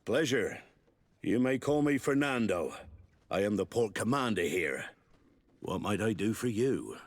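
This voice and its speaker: An actor playing a proud seafaring port commander in a fantasy video game, with formal, old-fashioned, salty phrasing. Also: male voice, on the low side, expressive, unhurried. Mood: courteous.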